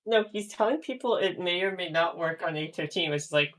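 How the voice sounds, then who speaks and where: A woman chatting casually about devices on a live voice call, full of fillers and quick laughs. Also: on the low side. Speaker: jailbreak developer on a stream. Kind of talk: casual tech chat.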